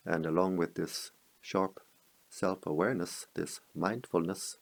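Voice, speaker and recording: A man guiding a meditation as a teacher, speaking calmly in measured short phrases with long pauses. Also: deep voice, creaky. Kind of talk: guided meditation.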